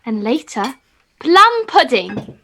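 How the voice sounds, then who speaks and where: A woman's voice: high-pitched, sing-song, slow, a bit bright, a little hiss or hum, exaggerated voice. A woman playing a sweet, devout, frail little boy in a radio play, innocent and eager in manner.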